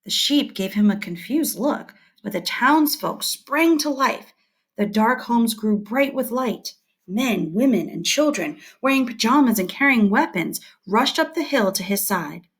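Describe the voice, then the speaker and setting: A woman teacher reading scripted educational material aloud in a clear, deliberate and expressive way. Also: on the high side.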